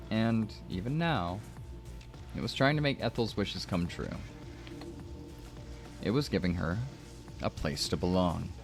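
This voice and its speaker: A man narrating prose fiction as a dramatic reading, measured and expressive. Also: fairly low pitch, vocal fry. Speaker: narrator and host of a story stream.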